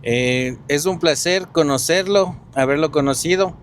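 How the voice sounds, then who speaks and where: A man's voice: fairly high pitch, normal speed, slight background noise. A local driver speaking in a friendly, hesitant way, often restarting his sentences, interviewed inside a car. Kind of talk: casual interview answers.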